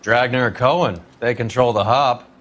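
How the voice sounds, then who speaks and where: An actor playing a cynical, worldly 1940s police veteran who speaks bluntly and swears. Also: male voice, mid-range voice, moderate pace, a bit bright, lightly acted. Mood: matter-of-fact. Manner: gruff, blunt, profane.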